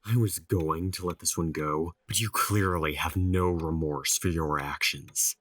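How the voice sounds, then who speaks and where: A stern male boss in an audio drama, with a low voice and a close, dry sound. He lectures and scolds in a condescending way. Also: steady tone, unhurried, a bit bright, no echo. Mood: stern, scolding. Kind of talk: audio horror drama acting.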